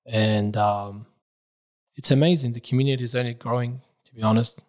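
A young man born in Iraq, a religious reciter, telling his life story in a relaxed, casual podcast chat, dropping Arabic phrases as he talks. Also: medium pitch, moderate pace. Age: young adult.

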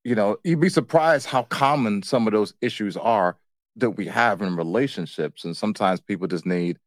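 Man, very low voice, dry close sound, colloquial, relaxed phrasing, podcast co-host chatting about relationships. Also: normal speed, no room sound. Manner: colloquial, matter-of-fact, says "man" and "you know". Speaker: co-host of a couples' relationship show. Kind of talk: casual, unscripted relationship chat.

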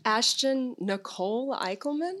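Texas-born woman chatting on a podcast, real bright punchy voice. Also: fairly high pitch, unhurried. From Northeast Texas. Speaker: podcast guest, aspiring actor. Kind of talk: casual chat.

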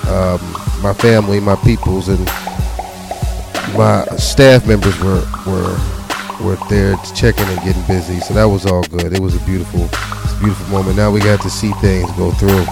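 A man's voice: sits low, vocal fry. A man co-hosting a radio show in a casual, informal way. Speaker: radio co-host. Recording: loud music under the voice. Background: music.

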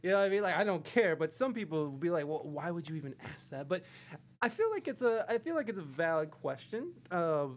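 A man's voice: high-pitched, very animated, a little fast. A man in a casual livestream chat, speaking in a hesitant, rambling, self-deprecating way as he interviews. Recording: faint background noise.

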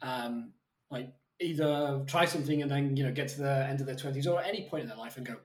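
A man's voice: mid-range voice, quick pace, reverberant, poor audio. A man co-hosting a podcast, rambling casually and peppering his talk with "like". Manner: rambling, swears casually, says like a lot. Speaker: podcast co-host. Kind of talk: casual conversation.

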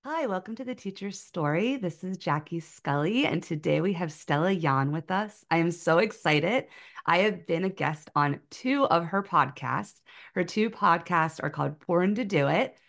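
A woman's voice: on the low side, expressive, normal speed, vocal fry, a bit bright. A woman hosting an education podcast, enthusiastic and gushing as she welcomes her guest. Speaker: podcast host. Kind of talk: scripted intro then casual interview.

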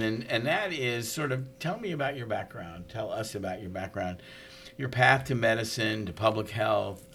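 A man's voice: on the low side, fairly bright tone, slight background noise. A man hosting a podcast and putting questions to a guest in a casual interview style. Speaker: podcast host.

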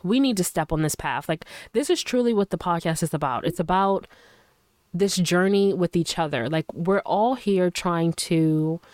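A woman talks into a close microphone on her podcast, her voice a little hoarse from a lingering cold. She sounds smooth and full-toned in a dry room, lowish for a woman. She rambles in a casual, filler-heavy way and sounds earnest and encouraging. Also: moderate pace, darkish tone, no room sound. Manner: loose and chatty, full of fillers. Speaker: podcast host. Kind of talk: casual solo podcast talk. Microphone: studio microphone.

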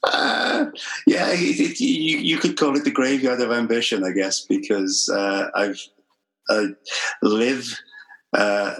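A middle-aged man from Wales speaks with a Welsh accent and a fairly low voice. He answers a podcast host's questions modestly, with a reflective and dry wit. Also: a bit bright, rough recording. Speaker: guest. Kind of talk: podcast interview.

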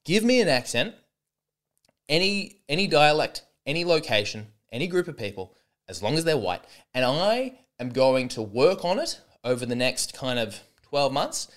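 A man's voice: a little high, sing-song, normal speed, a bit bright. A young man hosting a solo comedy podcast, rambling and sarcastic with many false starts.

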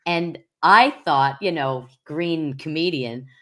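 A deep-voiced woman in her sixties, speaking as a stand-up comedian in a relaxed interview. She is low-pitched for a woman and hesitates with frequent ums. She teases and reminisces unhurriedly. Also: slow. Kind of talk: casual interview chat.

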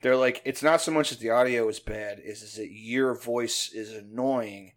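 A man's voice: mid-range voice, normal speed. A man, hosting a casual chat in a rambling, profane, self-deprecating way. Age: 50s. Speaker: podcast host.

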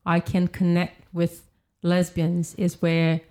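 A woman from Brazil, a podcast guest chatting casually, laughing often and filling pauses with "um". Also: fairly low pitch, monotone, a little mellow. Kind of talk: casual chat. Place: home in London.

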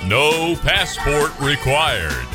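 Slow, lively male radio announcer. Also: a little high, over loud music. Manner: slow, dramatic announcer reading. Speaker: announcer for ad and show intro.

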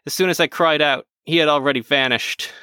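A man's voice: a little high, fairly even intonation, a little fast, sharp tone, clean tone, no echo, clean studio sound, pitched above the performer's own voice. A man voicing a cantankerous, insult-hurling pensioner in his seventies, telling his story with indignant boasting. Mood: tearful defiant. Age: over 70.

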